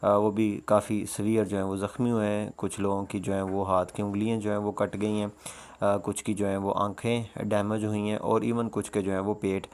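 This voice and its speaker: A man presenting informal, explanatory news commentary, often pausing mid-sentence and leaning on repeated filler phrases. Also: lowish voice, level tone, a little mellow. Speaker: presenter of a world news roundup.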